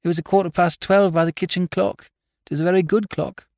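Man voicing a hoarse, desperate country wife, raised pitch. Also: fairly even intonation, fairly quick, a little mellow, no room sound, studio quality. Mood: plain, earnest. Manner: stark, rustic phrasing. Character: desperate, unhappy rural farmer's wife, plain-spoken.

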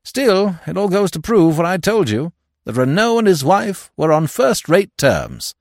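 A man's voice: high-pitched, huge pitch swings, unhurried, no room sound, clean studio sound, voice pushed up, full character voice. A man voicing a brash, blunt, loyal secretary in an audiobook mystery, speaking in slangy, emphatic, indignant bursts. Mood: firm, protesting. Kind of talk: acted dialogue in audiobook mystery.

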